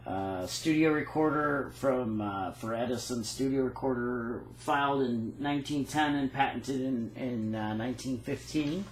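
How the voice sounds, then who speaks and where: Man, halting, reads dates and patent text aloud, roomy echo, faint room hum. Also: medium pitch. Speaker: phonograph cylinder maker. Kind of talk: casual show-and-tell walkthrough.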